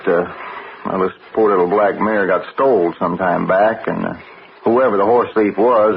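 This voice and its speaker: An actor playing a watchful, folksy ranch stable hand with an American Western accent and a hesitant drawl, in old-time radio drama style. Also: male voice, fairly low pitch, vocal fry. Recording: noisy, dull old radio broadcast.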